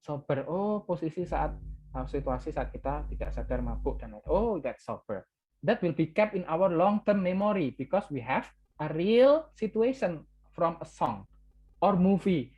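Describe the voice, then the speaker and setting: A man's voice: a little high, animated, normal speed, darkish tone, dull audio. A man from Java with an Indonesian accent and Javanese as his first language, lecturing an English class and switching between English and Indonesian, repeating key words with "ya".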